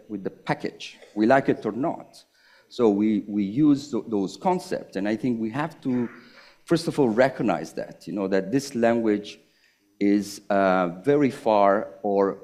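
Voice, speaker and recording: Panel speaker: middle-aged man, slightly low pitch, halting and thoughtful, lots of pauses. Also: a little mellow. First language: Italian. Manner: halting, hesitant, with many pauses and restarts. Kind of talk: reflective public conversation.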